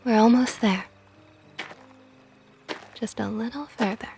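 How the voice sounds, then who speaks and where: A woman voicing a possessive, flirtatious female vampire knight in an ASMR roleplay, talking softly and often in a whisper with a teasing tone. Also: fairly high pitch, fairly even intonation, slow pace, vocal fry, soft background music. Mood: tender teasing.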